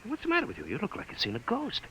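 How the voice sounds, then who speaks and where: A man acting in a 1950s radio drama as a folksy, excitable radio-room operator with an informal manner. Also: high voice, racing, vocal fry, heavily acted. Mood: irritated, baffled. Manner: colloquial, informal. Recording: muffled, noisy vintage radio recording.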